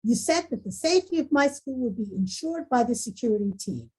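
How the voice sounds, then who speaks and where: An exasperated female school principal, acted by a woman at a table read. Her voice sits high and swings widely, with a rough husky edge. She sounds forceful and defensive. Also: animated, brisk, rough recording. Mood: indignant. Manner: forceful, indignant questioning. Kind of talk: screenplay table read acting.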